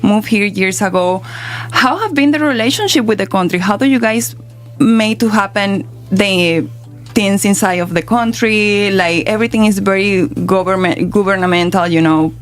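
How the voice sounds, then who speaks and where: A woman speaks at a medium pitch into a studio microphone. She co-hosts the radio conversation, asking curious questions and backing the others up with a steady "mm-hmm". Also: moderate pace, faint music behind. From Venezuela. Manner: curious, engaged, frequent affirming "mm-hmm". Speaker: radio co-host. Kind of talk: radio interview chat.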